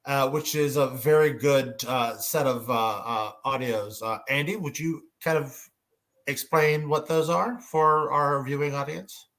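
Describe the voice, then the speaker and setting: A man moderating a casual panel discussion, speaking in a halting, hesitant way. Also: mid-range voice, a bit bright.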